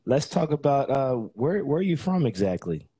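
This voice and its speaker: A man hosting a podcast interview, relaxed and encouraging with easy back-and-forth chat. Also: mid-range voice, moderate pace, slightly rough, no echo, clean studio sound. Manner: relaxed, encouraging, says "that's what's up". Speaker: podcast host. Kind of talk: casual interview.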